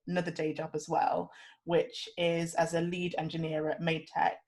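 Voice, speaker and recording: Enthusiastic low-voiced woman. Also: vocal fry. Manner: enthusiastic, upbeat, some fillers. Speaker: panel guest. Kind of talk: casual panel talk.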